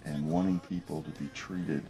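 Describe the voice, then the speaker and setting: A man in late middle age with an extremely low, mellow voice reflects on his life in an office interview, recorded from across the desk. He pauses often and restarts his sentences. Faint music plays underneath. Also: steady tone. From United States. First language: French. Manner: thoughtful, halting, many fillers and restarts. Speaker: business professor.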